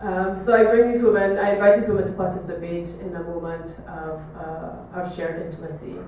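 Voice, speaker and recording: Echoey, distant low-voiced woman presenting. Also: little pitch movement, brisk, a little mellow. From Trinidad. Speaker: visual artist giving a presentation. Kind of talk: artist talk to an audience. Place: echoey room, talk to an audience. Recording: echoey room, distant mic, faint noise.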